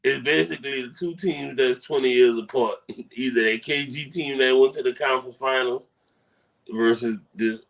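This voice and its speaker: A man of middle pitch co-hosting a casual basketball podcast. He gives blunt takes, swears freely and laughs easily. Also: normal speed, creaky, fairly bright tone, low-quality recording. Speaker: podcast co-host. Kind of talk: casual sports debate chat.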